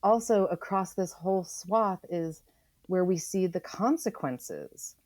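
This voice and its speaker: A middle-aged American woman explaining in conversation as an interview guest, thoughtful and halting, leaning on key phrases. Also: fairly low pitch, a little mellow, no room sound. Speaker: author guest on a podcast.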